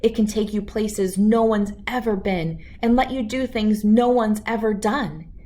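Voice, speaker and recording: A woman reading a children's picture book aloud as an expressive storyteller. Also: mid-range voice, unhurried.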